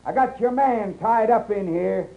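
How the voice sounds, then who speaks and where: A man playing a desperate cornered westerner accused of horse stealing, with a rough rustic drawl, in a 1950s radio western. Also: sits high, measured pace, exaggerated voice. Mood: threatening. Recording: noisy muffled 1950s radio recording.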